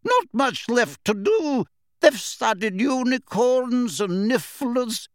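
Brief: man doing a jolly old-school witch teacher, high and slow, bouncy pitch. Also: big pitch swings, dry recording, clean studio sound, heavily acted. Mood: hearty. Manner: hearty, brisk, upper-class. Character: brisk hearty middle-aged witch teacher. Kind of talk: audiobook character voice.

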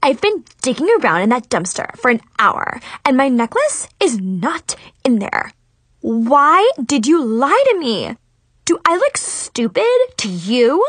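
Shrill, furious teenage mean girl. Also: sing-song, measured pace, a bit bright, slightly rough, no echo, exaggerated voice. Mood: furious, demanding. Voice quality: shrill, screechy. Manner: indignant, haughty, melodramatic.

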